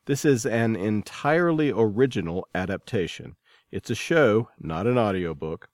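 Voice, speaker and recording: A man with a fairly low voice presents a podcast from a script. The sound is dry, with little room around him. He delivers it as a host introducing segments and news. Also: animated, normal speed, a little mellow, dry recording.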